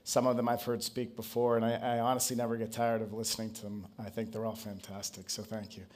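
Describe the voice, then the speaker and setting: A man's voice: fairly low pitch, little pitch movement, fast talker, a little mellow. A man speaking English as his first language, giving a conference presentation and drawing the audience in with questions. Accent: non-Brazilian English accent. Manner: engaging, asks the audience to raise hands. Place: conference stage.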